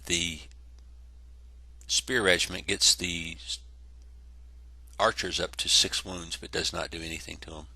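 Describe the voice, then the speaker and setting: A man's voice: low-pitched, steady tone, a little slow, long pauses, pushed and bright, no echo. A congested man casually narrating a tabletop wargame battle report, rambling with many fillers. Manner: rambling, self-correcting, lots of fillers.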